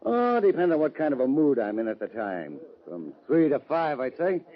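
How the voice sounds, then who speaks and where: An actor playing a domineering, greedy cattle baron in a radio western, with a folksy, threatening American Western drawl. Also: male voice, medium pitch, sing-song, moderate pace, close to an everyday voice. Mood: smug menace. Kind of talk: old-time radio western acting. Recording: dull vintage radio recording.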